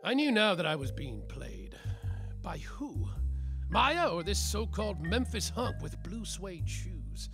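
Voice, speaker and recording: An actor playing a world-weary, boozy, sarcastic private detective in a slapstick noir radio mystery, with a wry, dramatic delivery. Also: male voice, sits high, big pitch swings, a little slow, over music, full character voice. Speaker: detective and narrator.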